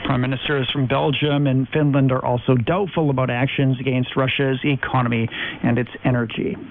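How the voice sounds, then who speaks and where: A man reading scripted news as an anchor. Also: a little high. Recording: narrowband shortwave radio with light noise.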